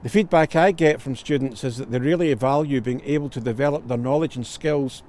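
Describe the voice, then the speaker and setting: A man's voice: medium pitch, brisk, darkish tone. A man, a solicitor and tutor, giving a scripted promotional testimonial with formal, careful phrasing.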